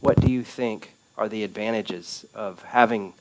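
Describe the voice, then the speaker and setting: Soft-spoken man leading a church study group. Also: fairly low pitch, fairly even intonation, a little slow, heavy background noise. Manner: gentle, asks questions and affirms answers. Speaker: Bible study leader. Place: church meeting room.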